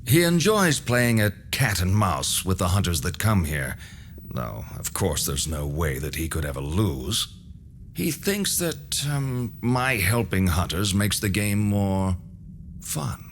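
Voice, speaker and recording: An actor playing a mysterious, calm old hermit in a video game, speaking in a measured, wry way. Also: male voice, deep voice, slight background noise, exaggerated voice. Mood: calm, grave. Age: older. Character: mysterious old man, calm hermit helping vampire hunters. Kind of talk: video game cutscene voice acting.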